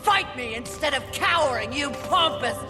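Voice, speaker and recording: Stern warrior, echoing. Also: female voice, high voice, expressive, normal speed. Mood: angry, defiant. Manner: stern, cutting. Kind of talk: video game heroine acting. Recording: echoey space, music underneath.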